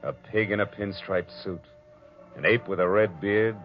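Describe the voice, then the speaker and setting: A hardboiled American private eye of forties radio drama, played by a male actor, cynical and wisecracking. His voice sits low, on a dull old recording with music behind it. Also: steady tone, normal speed, natural voice. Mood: brooding, wry. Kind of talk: 1940s radio detective acting, hardboiled narration.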